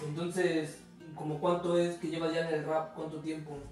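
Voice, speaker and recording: A man's voice: fairly high pitch, level tone, moderate pace, a little mellow, recorded from a distance, faint music behind. A young man hosting a casual interview, friendly and hesitant with many fillers. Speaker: interview host. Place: small home recording studio. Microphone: far camera mic. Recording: echoey room, voice from afar.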